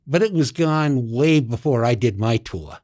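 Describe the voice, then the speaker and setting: An actor playing an older, folksy American diner cook and army veteran in a radio drama, plain-spoken and a little hesitant. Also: male voice, mid-range voice, expressive, measured pace, darkish tone, lightly acted. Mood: modest, hesitant.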